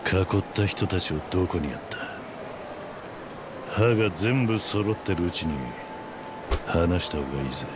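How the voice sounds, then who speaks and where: ゲームのカットシーンで、落ち着いて凄みのある、ぶっきらぼうな中年男を演じる男性俳優。. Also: male voice, low-pitched, lively intonation, normal speed, long pauses, background noise. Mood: menacing. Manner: gruff, terse, composed. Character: tough middle-aged man, calm, stern, quietly threatening fighter. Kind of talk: video game cutscene acting.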